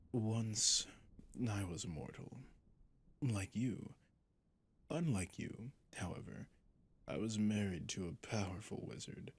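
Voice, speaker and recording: A man acts out a seductive, sadistic vampire for an ASMR roleplay. The low voice is thick with gravelly creak and takes its time, savouring each phrase. It is smug and condescending, often sinking into a whisper. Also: heavily acted. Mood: languid, boastful. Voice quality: breathy, husky, gravelly, often dropping to a whisper. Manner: slow, savouring, condescending intimacy. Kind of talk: scripted ASMR roleplay monologue.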